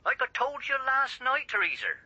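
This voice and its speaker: An actor in a radio drama playing a gruff local man who speaks in clipped, leading questions through a telephone filter. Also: very high-pitched, huge pitch swings, moderate pace, bright, forceful tone, exaggerated voice. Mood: urgent, insinuating. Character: gruff, probing local man passing on grim news by telephone. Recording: thin band-limited telephone line.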